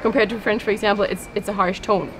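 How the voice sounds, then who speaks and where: A woman's voice: medium pitch, steady tone, fast, over music. A woman answering an interview casually, hesitant and full of fillers. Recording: noisy recording with ambient hum.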